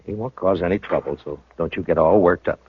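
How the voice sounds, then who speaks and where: An actor playing a calm, firm frontier marshal who also narrates, in a 1950s radio western, with an unhurried way of talking. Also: male voice, deep voice, slight background noise. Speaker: narrator and lead. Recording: muffled vintage radio broadcast.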